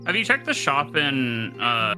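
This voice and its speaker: Male stream co-host, medium pitch, informal gaming chat, clear game music behind him. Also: sing-song, measured pace. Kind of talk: casual chat. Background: video game music.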